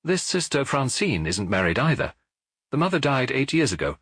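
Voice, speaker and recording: A man's voice: mid-range voice, very animated, moderate pace, a bit bright, slightly rough, no room sound, voice pushed up, exaggerated voice. A man voicing a jovial, talkative middle-aged police chief superintendent in an audiobook. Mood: businesslike. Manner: hearty, talkative, reporting.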